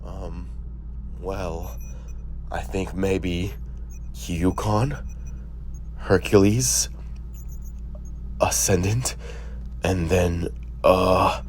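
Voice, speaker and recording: Casting: male actor as nervous junior lackey, halting and very slow, motion comic, slight background noise. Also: low-pitched, fairly even intonation. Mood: nervous, unsure. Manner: hesitant, stammering. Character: nervous, tired, timid young male newbie underling. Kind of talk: scripted motion comic voice acting.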